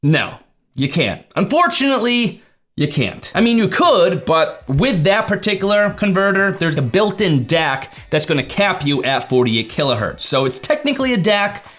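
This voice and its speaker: A man with a fairly high voice presents a tech explainer to his viewers. His intonation swings widely and animatedly. He is chatty and informal, leaning on key words for emphasis. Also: sing-song, moderate pace, fairly bright tone. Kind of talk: explainer vlog to camera.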